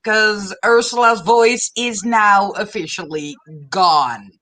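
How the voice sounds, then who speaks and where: A woman's voice: mid-range voice, slow pace, noisy background. A woman co-hosting a livestream in casual chat over a video call. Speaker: livestream co-host.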